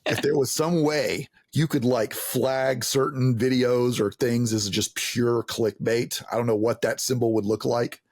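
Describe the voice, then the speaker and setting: A man in a casual podcast conversation, explaining in a halting, circling way with some offhand swearing. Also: mid-range voice, fairly even intonation, a little mellow, no echo.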